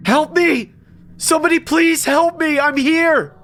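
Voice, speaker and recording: A man's voice: extremely high pitch, fairly even intonation, measured pace, a bit bright, soft background music, voice pushed up, exaggerated voice. A man voicing a terrified kidnap victim in a horror story, crying out and pleading. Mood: panicked. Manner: screaming, pleading.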